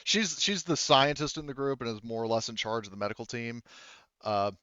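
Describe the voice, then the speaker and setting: A man narrating a tabletop roleplaying game as game master, halting and thinking aloud as he goes, through dull lo-fi audio. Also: medium pitch, animated, bright, forceful tone, clear, resonant tone, dry recording.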